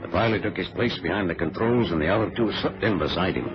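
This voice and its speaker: A man's voice: low voice, lively intonation, fast. A man playing a cautious, conscientious adventurer in an old radio drama, in a dramatic style. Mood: grave narrating. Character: cautious, conscientious male adventurer recounting his exploit. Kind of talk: dramatic radio narration and dialogue. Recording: muffled vintage radio recording, faint noise.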